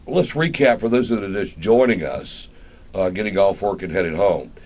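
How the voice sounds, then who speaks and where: A man's voice: deep voice, expressive, normal speed, darkish tone. A man hosting a casual live radio interview in an informal, rambling, conversational way. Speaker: radio show host.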